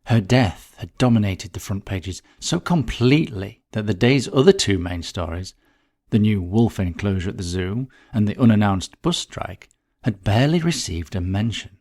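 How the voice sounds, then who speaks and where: A man with a fairly low-pitched voice narrates a scripted short story. He reads as the narrator of a whodunnit, delivering prepared prose. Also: lively intonation, moderate pace, darkish tone. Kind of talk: scripted narration of a murder mystery.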